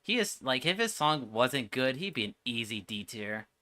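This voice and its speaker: Very bright-voiced man, streaming reactions. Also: medium pitch, big pitch swings, moderate pace. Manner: slangy, exasperated, repetitive. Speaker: streamer reacting to game trailers. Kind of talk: casual reaction livestream commentary.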